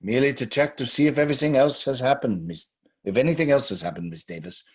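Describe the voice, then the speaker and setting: Male actor as grave occult scholar for vintage radio horror: husky, fretful, deliberate, on a dull lo-fi recording. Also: mid-range voice, natural voice. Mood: grave, troubled. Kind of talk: old-time radio drama acting. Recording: muffled old radio recording.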